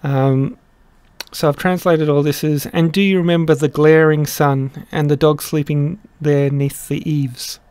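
A man's voice: a little high, fairly even intonation, a little mellow, clean tone, no room sound. Thoughtful man explaining a translation. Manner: thoughtful, halting, many restarts and fillers. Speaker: song translator explaining lyrics. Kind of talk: casual explanatory lesson. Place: small room indoors.

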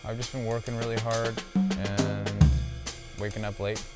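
A man's voice: fairly low pitch, flat delivery, measured pace, loud music behind the voice. A man, a comedian, explaining himself conversationally in a hesitant, defensive way inside a small van. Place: inside a small wood-lined camper van.

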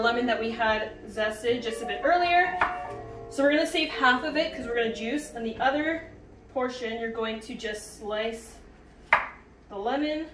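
Young female home-cooking host, high voice, informal instruction, recorded at a distance from the mic with music behind. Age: young adult. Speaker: home cook hosting a cooking video. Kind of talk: casual cooking demonstration. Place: home kitchen, indoors. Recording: roomy echo, distant mic, background music.

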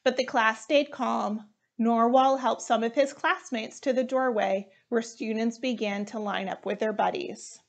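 A woman in her thirties reading a children's storybook aloud in an expressive, deliberate way. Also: a little high, creaky.

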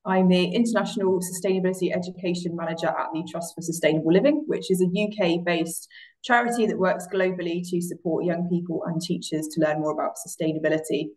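Female guest, low-mid pitch, composed and businesslike. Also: steady tone, fast talker, darkish tone, low-quality recording. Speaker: panel guest, education manager. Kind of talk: professional introduction.

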